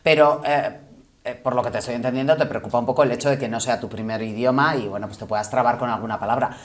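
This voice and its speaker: Very low-voiced woman interviewer. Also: steady tone, fast talker. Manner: informal, attentive, frequent murmured acknowledgements. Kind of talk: conversational interview.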